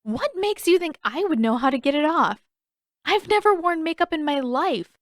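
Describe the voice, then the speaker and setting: A blunt teenage girl played by a woman reader in an audio story, pitched above the narration. She is skeptical and unimpressed, answering with matter-of-fact retorts. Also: very animated, normal speed, no echo, clean studio sound. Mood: teasing, dismissive.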